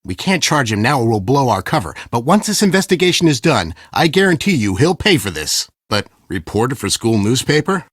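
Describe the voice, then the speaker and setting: An actor playing a smug, impatient schemer who poses as a police detective in an adventure game, with a condescending, theatrical delivery. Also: male voice, mid-range voice, sing-song, fairly quick, few pauses, a bit bright, slightly rough, no room sound, studio quality. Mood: businesslike, stern. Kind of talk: scripted game character dialogue.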